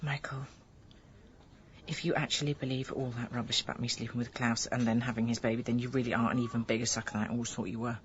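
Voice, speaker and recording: An actress playing a sharp-witted, exasperated but loving young wife and mother in a radio comedy, frank and teasing. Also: very deep voice, little pitch movement, quick pace, highs cut off. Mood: forgiving, teasing.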